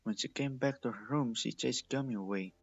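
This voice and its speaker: A man's voice: mid-range voice, brisk, creaky, breathy edge, no echo, highs cut off, faint music behind. A man reading a story aloud as the narrator. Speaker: fan fiction reader and narrator.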